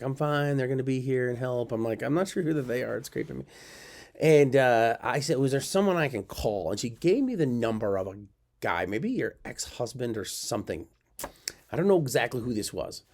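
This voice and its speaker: A man's voice: mid-range voice, darkish tone. Middle-aged male host, casual storytelling, self-mocking, rambling delivery with frequent fillers. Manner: rambling, self-deprecating, many fillers and asides.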